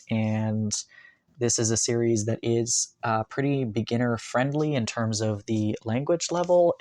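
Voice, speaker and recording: A man in a bedroom chats about his new books, halting often on ums and uhs, then picking up with excitement. His voice sits at an ordinary middle pitch. The sound is dry, with almost no room echo. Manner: rambling, many ums and uhs, enthusiastic. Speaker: manga collector vlogger. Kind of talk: casual haul video talk. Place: bedroom, indoors.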